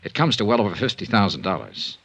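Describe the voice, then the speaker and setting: An actor with an American accent playing a smooth, tough but tender insurance investigator in a 1950s radio drama, with measured, clipped delivery. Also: male voice, fairly low pitch, big pitch swings, fairly bright tone, full character voice. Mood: gentle, measured. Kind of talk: 1950s radio detective drama acting and narration. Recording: dull 1950s radio recording.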